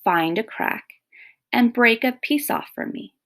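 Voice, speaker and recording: Woman voicing a wise, measured old koala grandad. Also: on the high side, expressive, a bit bright, pitched above the performer's own voice. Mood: kindly, instructive. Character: wise, patient old koala grandfather, exacting but kindly.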